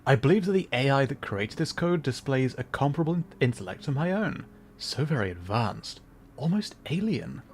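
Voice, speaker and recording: A man voicing an excitable, self-important scientist in a video game, with pompous, theatrical delivery. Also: mid-range voice, normal speed, slight background noise, natural voice. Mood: proud, amazed. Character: excitable, self-important male scientist reading out his message. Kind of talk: in-game dialogue read aloud.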